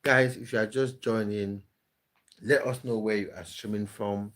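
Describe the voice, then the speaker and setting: A man's voice: lowish voice, fairly even intonation, measured pace, a bit bright. A man hosting a casual live stream, reading viewers' comments aloud and answering them with easy laughter.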